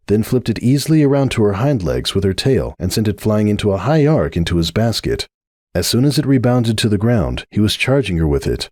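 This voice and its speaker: A man's voice: low voice, a little mellow, no echo, clean studio sound. A man giving a dramatic scripted reading of a story as its narrator.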